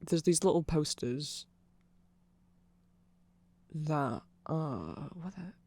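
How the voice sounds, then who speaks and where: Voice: mid-range voice, lively intonation, very slow, darkish tone, full character voice. A performer voicing a nerdy vampire fan in a rambling, apologetic, digressive ASMR explanation. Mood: rambling, explanatory.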